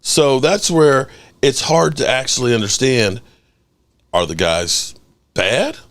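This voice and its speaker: A middle-aged man hosting a sports call-in show, animated and joking, swearing freely. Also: lowish voice, no echo. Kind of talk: casual call-in show talk.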